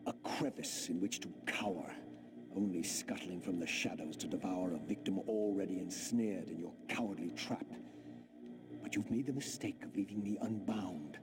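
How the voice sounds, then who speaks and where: Male actor as defiant undead vampire, low-pitched, theatrical scorn, formal diction, video game, music behind. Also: moderate pace, close to an everyday voice. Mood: scornful, defiant. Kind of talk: video game cutscene acting. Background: loud music.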